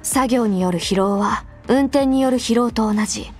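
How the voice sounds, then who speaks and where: A woman voicing an earnest, rule-bound young female adjutant in an anime game, speaking formally and politely with quiet care. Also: fairly high pitch, moderate pace, natural voice. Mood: prim, concerned. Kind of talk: Japanese anime game voice lines, intimate delivery. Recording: background music under the voice. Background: music.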